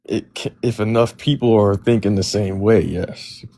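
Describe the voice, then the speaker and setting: A man's voice: fairly low pitch, steady tone, moderate pace, crowd noise. A man calling into a live stream, arguing back and tripping over his words. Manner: argumentative, interrupts, hesitant restarts. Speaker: caller.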